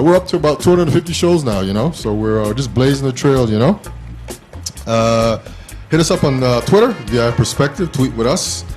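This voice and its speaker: A man in his fifties casually hosting an internet talk show from a small studio, with a halting, informal delivery. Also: on the low side, darkish tone. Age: 50s.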